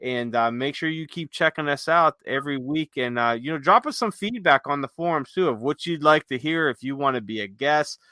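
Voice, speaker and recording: A man hosts a casual, unscripted podcast in a rambling, chatty way. His voice sits a little high and is sharp and bright, recorded close and dry. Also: lively intonation, brisk, few pauses, no room sound. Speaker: podcast host.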